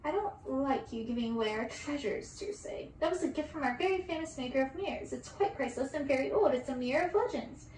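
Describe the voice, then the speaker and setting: A woman's voice: high-pitched, animated, fairly quick, hardly any pauses, a little mellow. A woman voicing a spiteful, mocking witch in a storybook reading, with a taunting, insistent manner. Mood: indignant. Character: cackling, mocking witch sisters, spiteful and possessive. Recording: distant roomy mic with background hiss.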